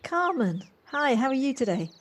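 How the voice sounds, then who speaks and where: Woman acting a courteous, hesitant lady, fairly high voice, radio-play delivery, home device recording, slight background noise. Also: sing-song, moderate pace. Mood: friendly, polite. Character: Jocelyn, polite warm woman settling into a house. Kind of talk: lockdown audio play acting.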